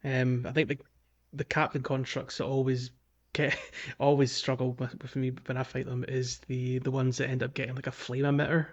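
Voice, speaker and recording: A man's voice: medium pitch, little pitch movement, normal speed. Male podcast host, mid-range voice, casual and a little hesitant, dull lo-fi recording. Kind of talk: casual video game discussion.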